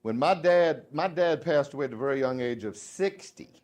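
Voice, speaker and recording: A man in his fifties with a Southern accent, giving a folksy, joking live speech to a room of salespeople, swinging between loud and hushed. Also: on the high side, animated, normal speed. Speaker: motivational sales speaker. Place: dealership meeting room.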